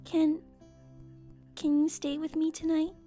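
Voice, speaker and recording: Man doing a timid filly, high mellow voice, near tears, soft music behind. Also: monotone, slow. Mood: pleading. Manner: small, pleading, near tears. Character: timid little filly, scared of the dark. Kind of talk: fanfic audiobook reading.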